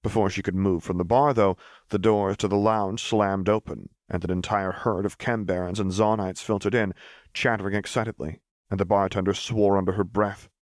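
Man, audiobook narrator, low voice, dry close sound, scripted fiction. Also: steady tone, normal speed, no echo. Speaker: audiobook reader.

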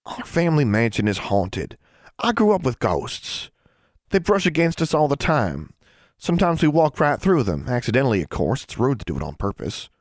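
A man's voice: mid-range voice, sing-song, moderate pace, dry recording, clean studio sound, voice pushed up. A man voicing a haughty schoolgirl with a matter-of-fact, dismissive manner in a storybook reading. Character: haughty schoolgirl from an old haunted-mansion family.

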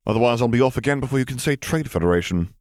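An earnest young male graduate student, acted by a man reading an audiobook, chatty and a little sheepish. His voice sits higher than the narration and he tends to ramble self-deprecatingly. The sound is dry. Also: expressive, racing, darkish tone, dry recording, close to an everyday voice. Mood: eager, rambling.

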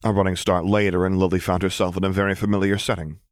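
A man reading a story aloud as an audiobook narrator, in an even, measured way. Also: deep voice, no echo, clean studio sound. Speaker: audiobook reader.

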